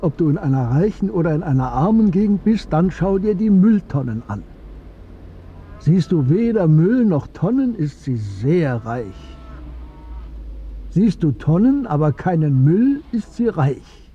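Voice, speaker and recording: Wise old shopkeeper in a radio play, soft mellow highish voice, bit muffled. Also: male voice, very animated, slight background noise. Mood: serene, wise. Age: older. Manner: unhurried, philosophical, aphoristic. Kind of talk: radio drama acting.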